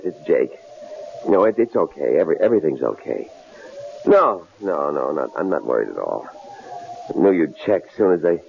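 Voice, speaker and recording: A man acting a shrewd, self-satisfied middle-aged professor in a radio drama, with a gravelly voice and a halting, folksy delivery. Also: deep voice, a little mellow, exaggerated voice. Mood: relieved, reassuring. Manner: halting, repeats words. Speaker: actor. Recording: dull, noisy vintage radio drama recording.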